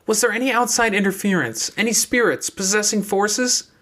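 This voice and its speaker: A man's voice: high voice, moderate pace, fairly bright tone, voice pushed up. A man voicing an earnest young woman in an audiobook, persistent and probing as she argues someone's case. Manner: probing, insistent.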